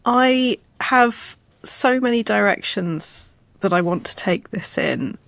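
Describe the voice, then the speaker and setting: A woman's voice: medium pitch, lively intonation, slow, gravelly, fairly bright tone, dry recording. A woman hosting a conversational interview, speaking in an effusive, enthusiastic way. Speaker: podcast host and interviewer. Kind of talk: warm introduction and conversational interview.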